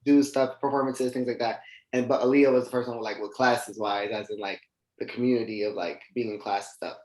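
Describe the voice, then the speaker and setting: A man's voice: medium pitch, steady tone, fairly quick, darkish tone. Podcast guest, male, late teens, informal and chatty delivery, recorded with noticeable room echo. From United States. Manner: informal, conversational, heavy use of "like". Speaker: podcast guest, dancer and artist. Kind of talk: casual interview chat. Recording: echoey, roomy sound.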